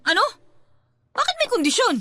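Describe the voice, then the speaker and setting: Shrill, brash Filipina tomboy. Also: female voice, very animated, moderate pace, sharp tone, no echo, exaggerated voice. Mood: flustered protest. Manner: brash, blunt, emotional. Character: tough-talking tomboy young mother, defiant, reluctantly in love. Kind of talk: Filipino radio-style romance drama acting. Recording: music under the dialogue.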